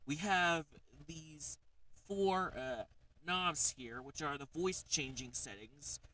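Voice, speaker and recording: A man's voice: fairly high pitch, slow pace, sharp tone, recorded from a distance, rough recording. A man hosting a casual livestream, rambling with lots of fillers as he shows off a gadget. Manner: rambling, frequent fillers and "alright?" check-ins. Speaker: streamer.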